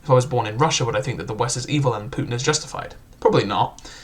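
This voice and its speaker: A man's voice: medium pitch, very fast. A young man casually reviewing a book, chatty and loose, with frequent filler words and restarts.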